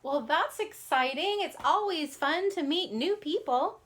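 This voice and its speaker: A woman's voice: high voice, animated, measured pace, a bit bright. A grown woman hosting a children's puppet show, speaking to little kids and chatting with the puppets. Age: adult parent. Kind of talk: addressing young children, scripted puppet dialogue.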